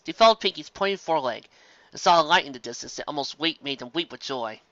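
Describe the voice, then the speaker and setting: Man, amateur story reader, animated narration, bright forceful tone, muffled lo-fi recording. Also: on the high side, dry recording. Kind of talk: expressive scripted storytelling narration.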